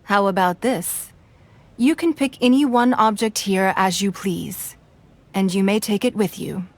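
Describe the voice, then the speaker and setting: An actress playing a poised, wealthy woman leader in a video game, speaking in a measured, gracious way. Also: medium pitch, slight background noise, close to an everyday voice.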